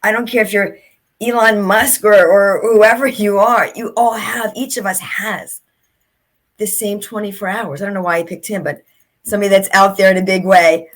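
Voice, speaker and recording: A woman's voice: mid-range voice, steady tone, moderate pace. Earnest, reflective middle-aged woman guest. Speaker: author and speaker, guest. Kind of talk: reflective interview conversation.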